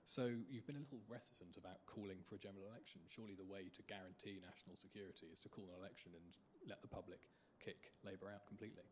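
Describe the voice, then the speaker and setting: Low-voiced male reporter over rumbling noise. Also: fairly even intonation, rapid-fire. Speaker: newspaper journalist asking a question. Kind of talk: press conference question. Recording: clear background noise with rumble.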